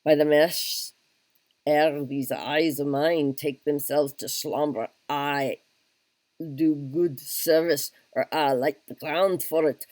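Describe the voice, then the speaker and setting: A man's voice: on the high side, fairly even intonation, slow, darkish tone, dry recording. A man voicing a good-natured Scottish army captain who speaks in old-fashioned oaths, in a solo reading of a stage play. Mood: hearty.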